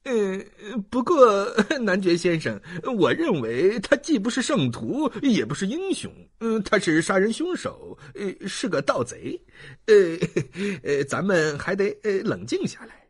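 A narrator acts out a sly male swindler from an audiobook novel, husky and swooping wildly in pitch. He wheedles and insinuates, stalling with drawn-out hesitations. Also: huge pitch swings, heavily acted. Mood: sly, insinuating. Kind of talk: audiobook character acting.

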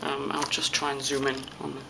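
A woman's voice: a bass voice, monotone, fairly quick, creaky, sharp tone, a little hiss or hum, low-quality recording. A young woman from England with a British accent, chatting casually to her camera from a small hotel room, tired and self-deprecating. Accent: British English. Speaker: vlogger. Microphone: camera at arm's length.

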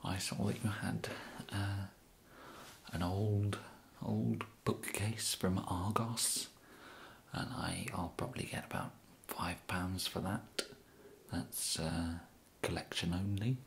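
A young man with an English accent whispering an ASMR roleplay as an awkward, polite kidnapper, halting and apologetic, close to the microphone. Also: sits low, creaky. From England. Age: young adult. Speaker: ASMR performer. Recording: steady background hiss.

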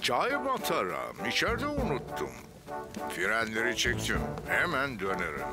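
An actor playing an easygoing elderly train driver in a children's cartoon dub, calling out in a folksy manner. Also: male voice, mid-range voice, huge pitch swings, measured pace. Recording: loud music behind the voice.

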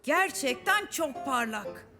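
A woman's voice: high voice, big pitch swings, takes it slowly, exaggerated voice. A woman voicing a bookish, long-suffering young boy in a children's cartoon, earnest and precise in his speech. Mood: fed up. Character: bookish, exasperated young boy wanting peace and quiet. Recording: music behind the voice.

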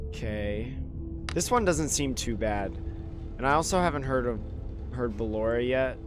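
A slightly hoarse man streaming a horror game, mumbling commentary to himself with many ums. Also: mid-range voice, animated, moderate pace. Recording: voice over loud game music.

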